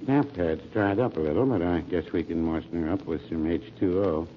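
An actor playing an older small-town druggist in a 1940s radio comedy, dry, folksy, hesitant and never hurried. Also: male voice, sits low, natural voice. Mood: dithering. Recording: muffled old radio recording, faint noise.

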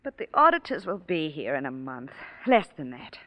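Anxious wife, tense and lowish voice. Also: female voice, very animated, normal speed, pushed and bright, no room sound, heavily acted. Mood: anxious, doubtful. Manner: worried, questioning. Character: anxious, doubting wife of a schemer. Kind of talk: old-time radio drama acting.

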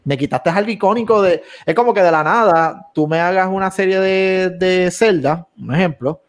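A man's voice: high voice, moderate pace. Rambling man, casual chat. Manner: rambling, many restarts and Spanglish. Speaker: podcast co-host.

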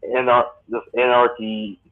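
A man in his late thirties hosting a casual podcast chat, talking in a rambling, halting way with many restarts. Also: mid-range voice, little pitch movement. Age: late 30s. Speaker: podcast host. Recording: tinny phone-like line.

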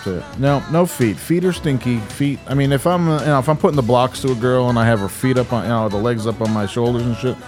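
A man's voice: mid-range voice, fast, hardly any pauses, darkish tone, faint music behind. A man co-hosting a podcast in an apartment room, bantering casually and profanely with mumbled asides. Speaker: podcast co-host.

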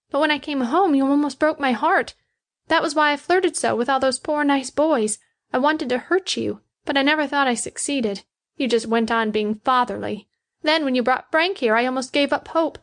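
A woman plays a proud young woman from a storybook romance. Her voice is high, emphatic and earnest, with a stubborn, defiant streak under the love. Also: a bit bright. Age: young adult. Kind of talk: audiobook character dialogue.

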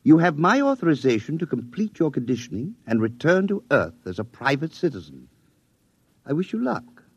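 An actor playing a stern, cryptic military psych officer with formal speech, in 1950s radio drama style. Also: male voice, fairly high pitch, wildly swooping pitch, moderate pace, husky, exaggerated voice. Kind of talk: 1950s science fiction radio acting. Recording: dull vintage radio recording.

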